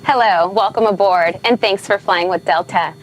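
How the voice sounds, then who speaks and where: A woman's voice: mid-range voice, moderate pace, low-quality recording. A woman presenting an airline safety video with a scripted welcome.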